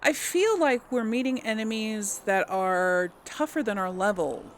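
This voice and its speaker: A woman's voice: a little high, unhurried, a little hiss or hum. A woman giving casual video game commentary, thinking aloud in an exasperated way. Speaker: host playing a video game. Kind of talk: casual let's play commentary.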